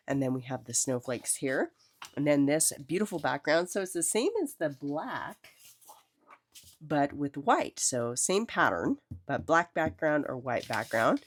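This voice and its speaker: A middle-aged woman hosting a casual craft tutorial, chatty and enthusiastic, with a cold. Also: deep voice. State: has a cold. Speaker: crafting video host.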